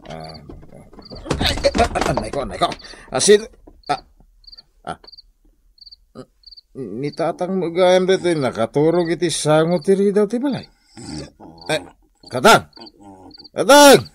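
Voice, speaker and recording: A boisterous, bumbling village husband with an Ilocano accent. The pitch jumps around a lot in broad radio-comedy style. The track is clearly noisy, with animal sounds behind. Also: male voice, big pitch swings, slow, pauses often. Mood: sneaky, grumbling. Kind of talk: comedic radio drama acting.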